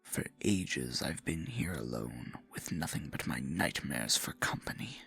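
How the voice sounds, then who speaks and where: A man playing a possessive, theatrical dark sorcerer in a fantasy ASMR roleplay, murmuring with silky menace and mock-sweet endearments in a husky, gravelly voice. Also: rumbling low, lively intonation, a little slow, soft background music, heavily acted. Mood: bitter, wounded.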